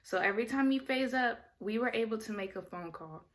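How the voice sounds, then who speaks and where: A woman's voice: fairly high pitch, fairly quick. A young adult woman vlogging casually, explaining things in a chatty way and correcting herself as she goes. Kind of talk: casual talking-head vlog.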